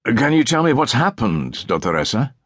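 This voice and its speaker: A man's voice: medium pitch, sing-song, moderate pace, fairly bright tone, no room sound, clean studio sound, voice pushed up. A man voicing a middle-aged police commissario who feigns illness, polite, wary and hesitant, in an audiobook. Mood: nervous, polite. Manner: hesitant, understated.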